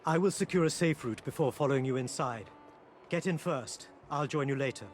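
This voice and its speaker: An actor playing a courteous, earnest Indian gentleman conspirator in a video game, speaking formally and articulately. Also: medium pitch, fairly quick, close to an everyday voice. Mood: resolved, measured. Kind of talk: video game cutscene acting. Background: background music.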